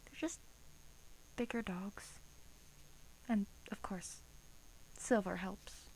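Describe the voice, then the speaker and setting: A woman acts out an ancient female vampire in an intimate ASMR roleplay. She speaks slowly and softly, drifting into whispers as she muses. She is smug and predatory, yet doting. Faint noise sits behind the voice. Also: mid-range voice, rough recording. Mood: smug, disdainful. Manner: slow, hushed, slipping into whispers, musing. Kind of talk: soft-spoken ASMR roleplay.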